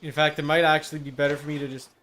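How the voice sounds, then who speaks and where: A man's voice: a little high, level tone, racing, fairly bright tone, slight background noise. A man doing casual live video game commentary, talking wryly to himself and to the game's enemies. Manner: talks to self and game enemies, wry.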